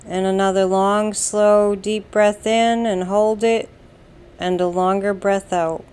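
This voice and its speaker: A woman with an American accent leads a guided meditation, giving calm, soothing instructions in a deliberate way with long pauses. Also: mid-range voice, clear, resonant tone. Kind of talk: slow guided meditation narration.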